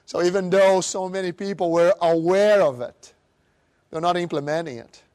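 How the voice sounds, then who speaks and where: Animated Brazilian-accented man, high voice. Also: normal speed. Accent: Brazilian Portuguese. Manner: animated, acts out voices of others. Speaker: motivational speaker. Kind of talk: live seminar presentation.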